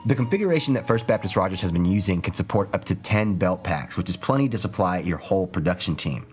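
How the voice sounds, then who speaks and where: A man's voice: low voice, animated, fast, faint music behind. A man presenting scripted promotional narration for a product video.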